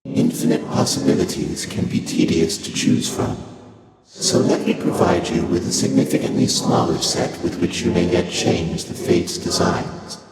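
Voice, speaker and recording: An actor playing an ancient, aloof primordial deity in a video game, speaking calmly and cryptically in formal, measured, archaic phrasing, with faint amusement and layered otherworldly processing. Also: male voice, low-pitched. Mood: calm, inviting. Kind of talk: video game character dialogue. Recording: cavernous long reverb, loud music behind.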